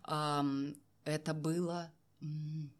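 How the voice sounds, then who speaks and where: Female interview guest, low register. Candid, reflective personal storyteller who leans on key phrases. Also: flat delivery, drawn-out words, a crowd in the background. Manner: reflective, emphatic, repeats phrases for weight. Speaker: interview guest, voice artist and former TV and radio presenter.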